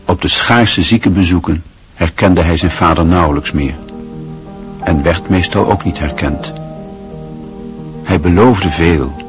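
A man narrating a literary radio drama in a measured, grave, reflective way. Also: low-pitched, over music.

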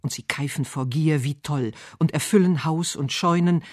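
A man reading literary narration and verse for a radio essay with measured, deliberate phrasing. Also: a little high, a little mellow, no room sound, studio quality.

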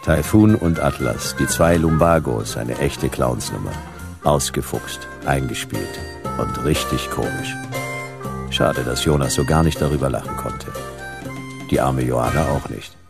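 Male detective for radio noir: bottom-register voice, gravelly, wry, laconic, music underneath. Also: extremely low pitch, unhurried, heavily acted. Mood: laconic narration. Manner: sardonic, laconic. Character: hard-boiled male detective Jonas, wry, world-weary narrator. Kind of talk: radio crime drama narration and dialogue.

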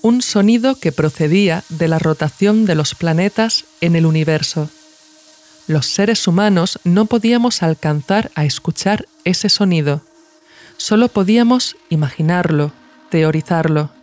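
A woman with an accent from Spain narrates a documentary essay. She reads from a script in a formal register, keeping a measured and reflective tone as a podcast author would. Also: on the low side, darkish tone.

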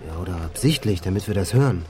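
A man's voice: low-pitched, expressive, fast, music in the background. An actor playing a jaded, standoffish male call center worker, dry, curt and casual, in a naturalistic radio crime drama. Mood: uneasy, grumbling.

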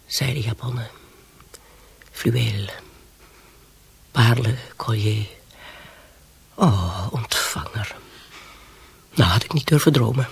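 A very husky, ambitious salon hostess in a radio play. She gushes about status and drops into breathy whispers. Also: a bass voice, takes it slowly, pauses often, exaggerated voice. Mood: gloating, dreamy. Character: ambitious, status-hungry bourgeois wife hosting a provincial salon. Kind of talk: radio drama acting.

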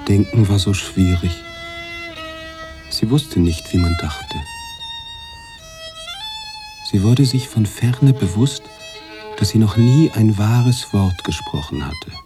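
An actor playing a reflective, sombre storyteller in a radio drama, narrating in a measured, musing way. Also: male voice, fairly low pitch, animated, over music. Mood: pensive.

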